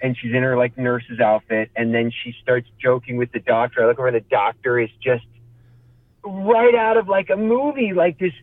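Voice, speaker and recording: A man telling a casual, animated comic story in a halting, rambling way, acting out bits of dialogue. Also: medium pitch, dry recording, narrow, tinny audio.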